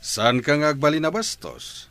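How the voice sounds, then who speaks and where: A man playing a stern middle-aged father in a radio drama, firm and measured, with an Ilocano Filipino accent. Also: fairly high pitch, big pitch swings, a bit bright, soft background music.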